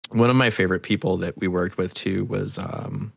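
A man with a low voice and plenty of gravelly fry chats as a guest in a dry, close recording. His talk is loose and enthusiastic. He swears freely and casually. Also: fairly even intonation, normal speed, no room sound. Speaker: podcast guest, audio drama co-creator. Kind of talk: casual interview chat.

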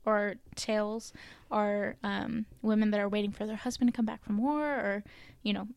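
A woman's voice: mid-range voice, steady tone, moderate pace, vocal fry, darkish tone, smooth, no room sound. A woman from Canada co-hosting a podcast, casually telling old legends with lots of ums and likes. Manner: chatty, lots of likes and ums, laughs at own stumbles. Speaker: podcast co-host.

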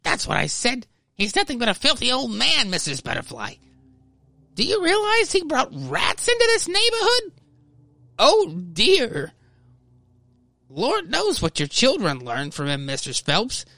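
Man putting on a prim, scandalized gossiping woman, high-pitched. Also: wildly swooping pitch, measured pace, creaky, pushed and bright, no echo, exaggerated voice. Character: gossiping indignant neighborhood women, prim and scandalized. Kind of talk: comic radio play reading.

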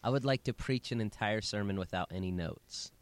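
A man's voice: on the low side, a little fast, slight background noise. A man in his thirties, a pastor co-hosting a casual chat podcast, who talks in a playful, teasing way with dry jokes. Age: 30s.

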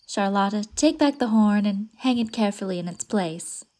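A woman's voice: mid-range voice, expressive, normal speed, a little mellow, close to an everyday voice. A woman reading as a whimsical, independent lady of forty-five who loves to pretend, in a storybook. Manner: fond, playful, pretends freely. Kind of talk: audiobook character reading.

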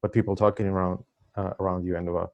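A man in his forties with a Russian accent and a somewhat low voice, chatting as an interview guest. He hesitates and fills pauses often, breaking into laughs as he goes. His first language is Russian. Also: flat delivery, a little mellow. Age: 40s. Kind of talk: casual conversation.

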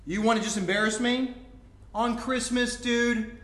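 A man's voice: very high-pitched, steady tone, a little slow, a bit bright. A man playing a brash, athletic older brother in his thirties who needles in mocking slang, in a staged comedic play reading. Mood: defensive. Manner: slangy, mocking, jock-like delivery. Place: indoor room before a live audience. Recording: roomy live stage recording.

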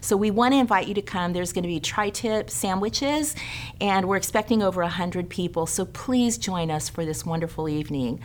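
A middle-aged woman on the lower side of the female range gives the church announcements. She sounds earnest and inviting as she runs through classes and events. A faint low rumble sits under her voice. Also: normal speed. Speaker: care ministry director.

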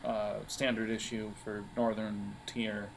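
A man narrating an improvised tabletop role-playing game as game master, often halting as he thinks aloud. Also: fairly low pitch, little pitch movement, a little hiss or hum, low-quality recording. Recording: music playing under the voice.

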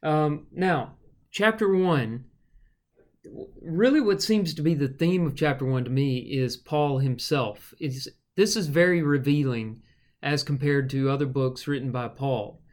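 A man's voice: a little high, unhurried, darkish tone. A man preacher co-hosting a casual Bible study podcast, thinking aloud with fillers and restarts.

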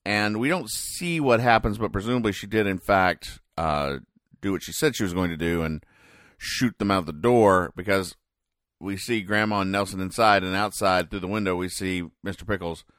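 A man hosting a podcast, recapping and explaining in a casual, deadpan, mock-serious way with halting, hesitant delivery. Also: sits low, no echo, studio quality. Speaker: podcast host. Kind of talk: casual comic-strip recap commentary.

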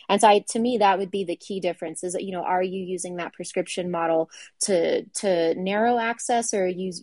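A woman hosting a casual panel discussion, talking in a measured way with frequent fillers and putting questions to her guests. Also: on the low side, darkish tone, no echo. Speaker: podcast host.

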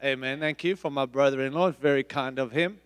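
A middle-aged man, a pastor, preaching a sermon in an emphatic, repetitive style with big swings in loudness. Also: medium pitch, brisk, clean tone, big-room sound. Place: church.

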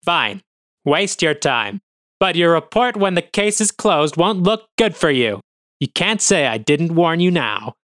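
A man's voice: fairly low pitch, animated, brisk, few pauses, bright, forceful tone, smooth, no room sound, studio quality. A man voicing a scrawny, ferret-like police officer who barks and sneers in an audio drama. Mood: sneering hostile. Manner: barking, sneering.

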